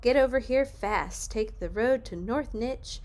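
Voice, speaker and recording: A woman's voice: a little high, measured pace, darkish tone, natural voice. A woman voicing a brash, greedy male businessman in a children's storybook, boastful and dismissive. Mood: excited. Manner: boastful, brushing off objections. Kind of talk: children's storybook read-aloud.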